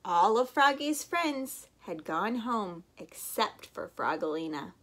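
A woman's voice: mid-range voice, takes it slowly. A young adult woman reading a children's storybook aloud expressively, acting out sound effects. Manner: animated, with acted sound effects. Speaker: story time reader. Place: bedroom, indoors.

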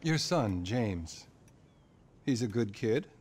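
An actor playing a detached, patient therapist in a video game, probing and slightly glib. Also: male voice, fairly low pitch, animated, slow, darkish tone, a little hiss or hum. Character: detached, professional male therapist prodding a client. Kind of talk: naturalistic video game cutscene acting.

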